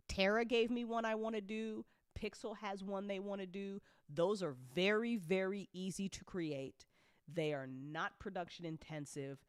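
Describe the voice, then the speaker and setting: A woman host chatting casually and unscripted to her listeners, candid and excitable, with emphatic repetition, slang and plenty of swearing. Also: on the low side, clear, resonant tone, no echo, studio quality. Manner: excitable, emphatic with repeated phrases. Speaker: host and audio drama writer-producer.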